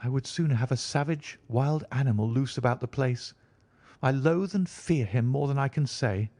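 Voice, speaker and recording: A man's voice: medium pitch, moderate pace, dark tone, no room sound, voice pushed up, natural voice. A man voicing an anxious, indignant young genteel Victorian woman in a prim, earnest manner for an audiobook. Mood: disgusted, fearful. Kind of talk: audiobook character reading.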